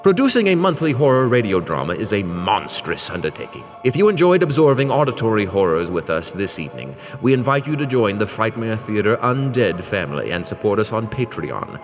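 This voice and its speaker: A man's voice: on the low side, very animated, a little fast, hardly any pauses. A man playing a grand, menacing summoned announcer, reading theatrical spooky radio credits in heavy reverb. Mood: ghoulishly formal. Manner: theatrical, macabre. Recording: long cavernous reverb over music.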